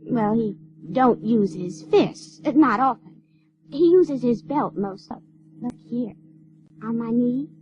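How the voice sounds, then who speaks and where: A woman's voice: a little high, lively intonation, slow pace, mellow. An actress playing an artless, stubborn sixteen-year-old runaway girl in an audio drama, with a rustic, drawling Ozarks accent and plain-spoken manner. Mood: plaintive. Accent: Ozarks rural American. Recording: dull lo-fi radio recording, faint noise.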